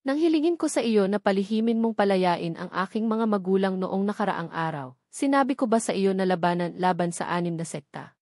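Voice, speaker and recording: A woman's voice: mid-range voice, brisk, a little mellow, no room sound, studio quality, lightly acted. Woman reading a stern male sect leader. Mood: angry reproach. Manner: scolding, formal. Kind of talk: audiobook dialogue.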